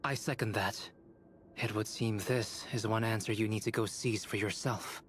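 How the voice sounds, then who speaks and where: An actor playing a composed young male scholar in a video game, measured, formal and precise as he explains. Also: fairly low pitch, steady tone, a little hiss or hum, lightly acted. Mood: calm.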